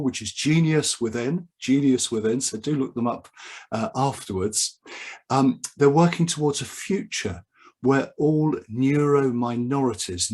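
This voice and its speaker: A man hosting an online webinar, his voice a little husky. He speaks in a measured, formal presenter's manner and repeats his key points so they stick. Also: mid-range voice. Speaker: webinar host. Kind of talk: formal welcome and introduction. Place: home or office video call. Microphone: video call mic.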